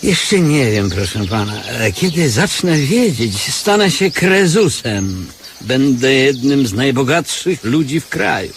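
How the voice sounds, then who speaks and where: An actor playing a fallen professor turned beggar posing as blind, philosophizing in a lofty, wordy way in a radio drama. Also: male voice, mid-range voice, very animated, measured pace, slight background noise. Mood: musing.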